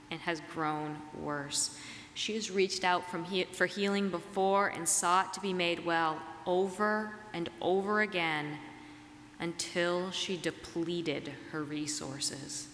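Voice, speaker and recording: A woman preacher delivering a reflective, measured sermon, her voice slightly raspy as she gets over a cold. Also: on the low side, a bit bright. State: getting over a cold and flu. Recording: reverberant hall, clear background noise.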